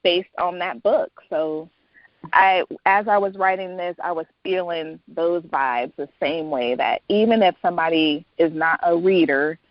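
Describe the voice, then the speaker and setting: A woman's voice: lowish voice, measured pace, dry recording. Dull, dry recording of a woman guest. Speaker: interview guest, author. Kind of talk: casual interview chat. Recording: dull lo-fi sound.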